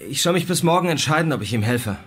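An actor playing a nerdy amateur investigator in a German crime radio drama, halting at times but pointed and defiant. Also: male voice, a little high, expressive, fairly bright tone, soft background music. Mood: hesitant. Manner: halting, then pointedly sarcastic.

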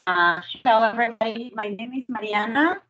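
A woman's voice: a little high, fairly quick, crowd noise. A woman from Argentina, introducing herself chattily at a meeting as a food security advisor. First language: Spanish. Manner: warm, chatty, laughing asides. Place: conference room. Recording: distant room mic, dull sound.

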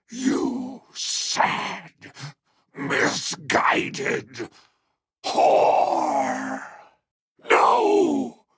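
Frail tycoon, agonized, wildly high-pitched. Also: male voice, creaky, fairly bright tone, heavily acted. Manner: halting, broken phrases. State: agonized, crying out weakly. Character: dying, frail old male tycoon, bitter and anguished.

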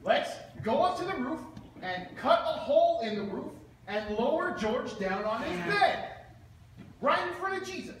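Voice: high voice, very animated, moderate pace, slight background noise. A performer acting an eager male puppet, a loyal friend, in an amateur church puppet show. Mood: excited, encouraging. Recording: echoey church hall, distant voice.

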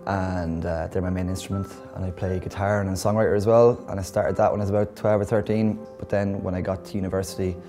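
A man's voice: low voice, flat delivery, quick pace, few pauses, warm tone, soft background music. A man, musician and educator, talking casually in an interview.

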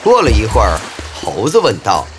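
A man's voice: on the low side, huge pitch swings, normal speed. A man narrating a scripted fairy tale for children as a storyteller. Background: music.

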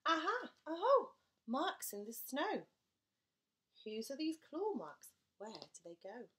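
A woman voicing an eager, brave young girl monster, the Gruffalo's child, in a high, loud, excited voice. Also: normal speed.

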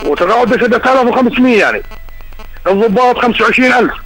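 An older Jordanian man, a retired soldier, calls in over a phone line with faint music behind him. His voice is high for a man and bright, and he talks very fast. He complains in an aggrieved way. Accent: Jordanian Arabic. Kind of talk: phone-in call.

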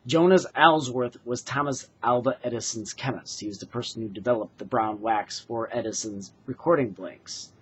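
A man in his forties from the United States gives an earnest, halting product demonstration as a craftsman, recorded indoors on a webcam mic. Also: medium pitch, husky. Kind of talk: informal product demonstration to camera. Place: small bedroom, indoors. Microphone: webcam or laptop mic at desk distance. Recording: dull, lo-fi webcam sound.

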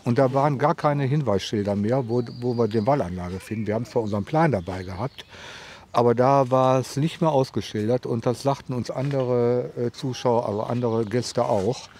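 A man's voice: medium pitch, normal speed, a little mellow. A man being interviewed as a visitor outdoors, explaining things in an unscripted, conversational way. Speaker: garden show visitor interviewed. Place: outdoors on garden show grounds. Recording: outdoor interview with ambient noise.

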